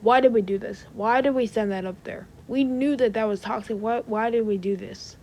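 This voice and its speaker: A woman's voice: on the high side, normal speed, dark tone, a little hiss or hum, close to an everyday voice. A woman playing a stressed, exasperated rocket company staffer in a naturalistic amateur audio drama. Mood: dismayed.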